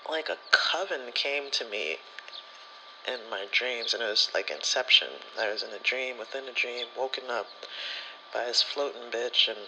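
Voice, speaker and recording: A man's voice: medium pitch, noisy background. A man telling personal stories in a casual, candid, rambling way, with slangy, sweary phrasing. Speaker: spirituality content creator. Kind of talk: casual personal storytelling, ASMR vlog.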